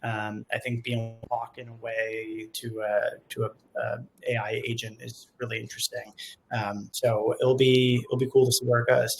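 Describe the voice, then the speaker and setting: A man's voice: mid-range voice, flat delivery, normal speed, gravelly, a little hiss or hum, poor audio. A man co-hosting a company webinar in a casual, conversational way, thinking aloud as he goes in an informal, rambling manner. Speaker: co-host of a company webinar, software company staff. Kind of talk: casual panel talk.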